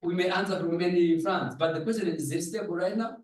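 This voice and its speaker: A man's voice: high-pitched, quick pace, darkish tone. A man with a Zimbabwean accent gives a public address as a pastor, putting rhetorical questions to his listeners. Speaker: pastor giving a presentation. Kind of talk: public address in a dialogue forum. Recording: echoey room, distant microphone.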